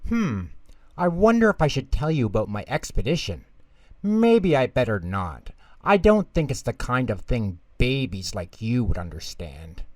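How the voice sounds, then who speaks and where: A man's voice: medium pitch, very animated, moderate pace, a little mellow, no echo, lightly acted. A man voicing a bossy, scornful little girl in a children's storybook, talking down to the smaller kids. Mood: condescending. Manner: bossy, condescending.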